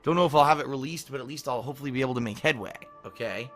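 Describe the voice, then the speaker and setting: A male streamer with a hoarse, worn voice, casually running through his stream schedule, often clearing his throat and checking in with "okay?". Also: mid-range voice, rapid-fire, faint music behind. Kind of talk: casual pre-stream schedule talk to chat.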